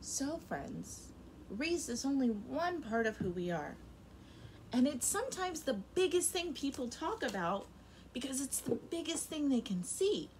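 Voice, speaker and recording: Animated young woman reading to young children. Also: on the high side, slight background noise, poor audio. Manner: expressive and animated, with big swings in loudness. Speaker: teacher. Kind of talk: reading a picture book aloud to kindergarten children. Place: home room in front of a rainbow feather backdrop.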